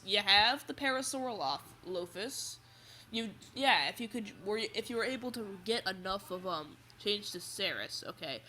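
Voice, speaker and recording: Bright-voiced teen boy with a stuffy nose. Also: sits high, a little hiss or hum. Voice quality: slightly raspy. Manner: rambling, restarts often, chatty. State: stuffy nose, under the weather. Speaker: toy collector video host. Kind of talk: casual livestream chat.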